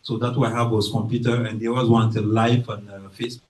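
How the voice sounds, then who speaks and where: A man from Guinea with a French accent, speaking slowly and carefully as an author presenting his book to a library audience, with hesitations and acted-out quotes. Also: on the low side, level tone, a little mellow. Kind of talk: informal talk to an audience. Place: library event room.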